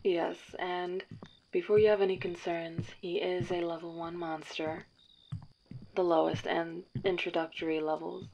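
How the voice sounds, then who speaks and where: Brisk agency matchmaker, clipped and formal, lowish voice, dull recording, voiced by a woman. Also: level tone, vocal fry. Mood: briefing. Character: crisp, controlling woman dating agency matchmaker. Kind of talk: audio drama roleplay. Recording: dull lo-fi sound, faint hiss.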